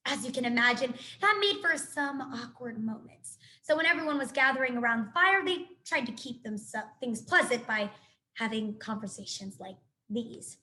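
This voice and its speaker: Expressive woman reading a children's book. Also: on the high side, moderate pace. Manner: expressive storytelling. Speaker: teacher reading aloud. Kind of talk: children's book read-aloud.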